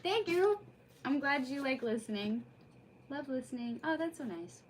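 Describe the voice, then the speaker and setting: A woman's voice: high-pitched, lively intonation, moderate pace, a little hiss or hum. A woman chatting casually on a livestream in an effusive, rambling way, as host of a read-aloud. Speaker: streamer hosting a live read-aloud.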